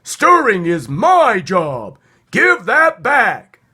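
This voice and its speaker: A man voicing a small, excitable male squirrel in a storybook, squeaky and emphatic. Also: drawn-out words, breathy edge, exaggerated voice. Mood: indignant. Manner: yelping, emphatic.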